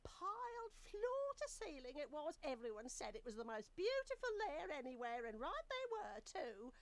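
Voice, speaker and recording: Old female dragon, high-pitched, animated, crotchety, coquettish, theatrical, fantasy game drama. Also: moderate pace, no room sound. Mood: boastful, grumbling. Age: older. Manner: theatrical, teasing, fussy, grandmotherly chatter. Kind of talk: audio drama adventure game acting.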